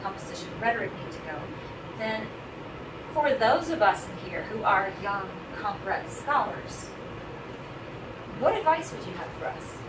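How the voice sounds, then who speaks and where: A friendly woman grad student asks her questions in a chatty way, hedging with fillers as she goes. She sounds far from the mic in a roomy space, with loud noise running behind her voice. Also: a little high, expressive, a little slow. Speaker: graduate student interviewer. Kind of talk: casual academic interview. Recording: echoey, distant, loud background noise.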